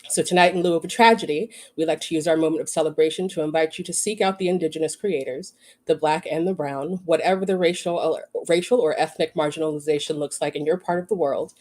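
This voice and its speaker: An awards organizer reading a prepared formal speech in a solemn, measured and earnest way. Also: mid-range voice, darkish tone.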